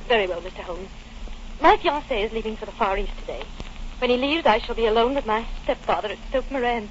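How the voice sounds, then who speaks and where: A woman's voice: fairly high pitch, quick pace, lightly acted. An actress playing a frightened, well-bred young English woman in a 1940s radio melodrama, intense and tremulous. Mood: fearful, resolute. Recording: muffled, noisy old-time radio recording.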